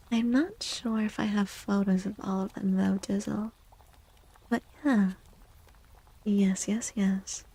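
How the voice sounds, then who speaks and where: A woman streaming soft-spoken ASMR tarot readings, speaking gently and breathily, often dropping into a whisper and repeating her words. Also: mid-range voice, poor audio. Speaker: live streamer doing tarot readings for chat.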